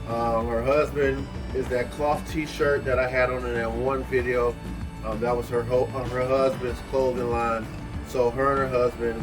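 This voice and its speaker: A man co-hosting a casual vlog, giving informal, appreciative shout-outs in a heartfelt way. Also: mid-range voice, poor audio. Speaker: co-host of a video channel. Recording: music playing behind the voice. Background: background music.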